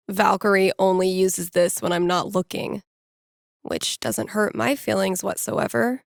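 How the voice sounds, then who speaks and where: A woman's voice: medium pitch, creaky, dry recording. An actress playing a wry, playful young woman DJ in a video game, musing to herself in a dry, conversational way. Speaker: video game character, radio DJ and record store worker. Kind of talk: video game voice lines, casual inner monologue.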